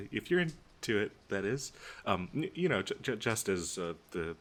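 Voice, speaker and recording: Man playing obsessive lovestruck young guy, low voice, slow halting delivery, heavy stammer, nervous giggles, intimate roleplay. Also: very animated. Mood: flustered, shy. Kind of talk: intimate audio roleplay addressed to the listener.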